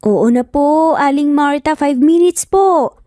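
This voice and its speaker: A woman's voice: high voice, slow pace, dark tone, no echo, studio quality, soft background music, pitched above the performer's own voice. A woman voicing a feisty, easily flustered young single-mother nanny, sassy yet polite, in a narrated story. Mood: hurried, polite.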